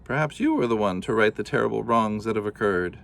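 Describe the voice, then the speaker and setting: A man voicing a wise, kindly old woman who speaks gently and solemnly. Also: lowish voice, expressive, fairly quick, creaky.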